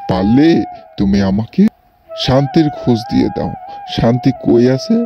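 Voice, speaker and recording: A man's voice: mid-range voice, huge pitch swings, normal speed, background noise. A man playing an earnest, helpful young village lad in an amateur cartoon. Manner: earnest, simple. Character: simple, helpful village lad seeking peace. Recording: dull audio, music behind.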